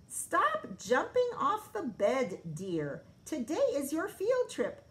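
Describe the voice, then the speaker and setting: Motherly animal lady, acted by a woman, high-pitched, calling out, gently firm, sing-song, children's storybook. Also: huge pitch swings, takes it slowly, full character voice. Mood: calling, chiding. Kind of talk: children's storybook read-aloud.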